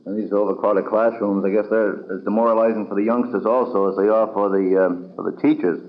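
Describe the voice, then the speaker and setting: Through the dull, limited band of a 1950s radio recording comes a male interviewer with a lowish voice. He is polite and deferential, putting his questions in a formal but conversational way. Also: fairly even intonation, brisk.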